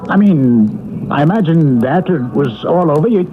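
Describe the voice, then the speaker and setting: A man's voice: a little high, expressive, moderate pace, over music, close to an everyday voice. An actor playing an indignant, bewildered newly dead man in a 1970s radio drama, exasperated and dramatic as he speaks and narrates. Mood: agitated, bewildered. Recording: tinny, very noisy old radio broadcast.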